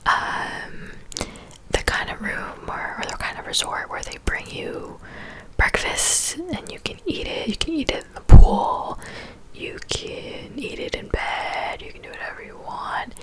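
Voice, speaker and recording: Whispering young woman, soft ASMR chat. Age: 20s. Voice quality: breathy whisper. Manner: soft, unhurried, chatty. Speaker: ASMR creator answering viewer questions.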